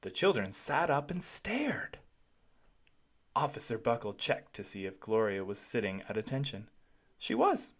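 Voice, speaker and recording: A read-aloud narrator telling a children's storybook expressively, with deliberate, dramatic phrasing. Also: medium pitch, very animated.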